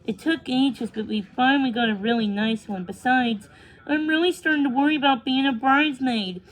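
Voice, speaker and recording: An anxious young schoolgirl from a children's storybook, read out by a woman, nervous about being a bridesmaid. Her voice is high and worried, and she tends to exclaim her fears. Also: moderate pace, lightly acted, poor audio. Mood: worried, fretful.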